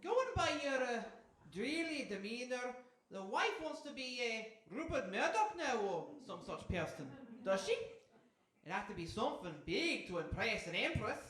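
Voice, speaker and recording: A woman's voice: on the high side, very animated, normal speed, a bit bright. A woman playing a cheeky seagull with a Scottish accent in a live comic radio play, teasing and hammy. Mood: wry, teasing. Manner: teasing, hammy, drawling fillers. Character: cheeky Scottish seagull, blunt joking sidekick. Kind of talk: live radio theatre comedy acting. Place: on stage in a hall before an audience. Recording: echoey live hall, faint noise.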